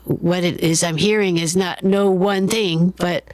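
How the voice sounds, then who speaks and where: A woman's voice: on the low side, steady tone, unhurried, a little mellow, dry recording. A woman hosting a casual interview, asking curious questions and thoughtfully rephrasing her guest's ideas. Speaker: podcast host.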